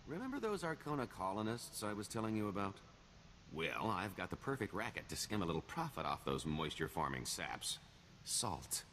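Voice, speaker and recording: A silver-tongued video game swindler with a low male voice that rises and dips with great expression. He is self-assured and wry, always working a sales pitch. A light layer of noise sits behind him. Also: very animated, normal speed, fairly bright tone, full character voice. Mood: sly, scheming. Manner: wheedling salesman patter, wry. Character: smooth-talking male con-artist schemer, sly and self-assured. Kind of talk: video game dialogue acting.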